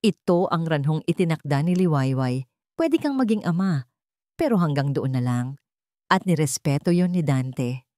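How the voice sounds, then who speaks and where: A woman's voice: deep voice, lively intonation, moderate pace, warm tone, dry recording, studio quality. A woman narrating a scripted romantic drama as a storyteller.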